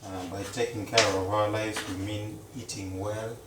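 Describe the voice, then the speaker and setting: A man, a Luganda speaker, chatting casually to viewers as he cooks in a home kitchen, slow and repetitive. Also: on the low side, level tone, echoey room, far from the mic, very noisy. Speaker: cooking vlogger. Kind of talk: casual live-stream chat.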